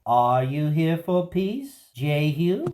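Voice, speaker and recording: An uneasy, alarmed king, speaking slowly with a lively, rising and falling voice. He sounds wary of what is coming in this audio drama. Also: on the high side. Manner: urgent, alarmed. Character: anxious male king under threat.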